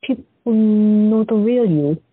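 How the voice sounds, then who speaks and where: A woman's voice: mid-range voice, takes it slowly, slightly rough, no room sound. A woman playing a shy woman patient who has visions, speaking hesitantly and with wonder. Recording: dry, tinny phone-like audio.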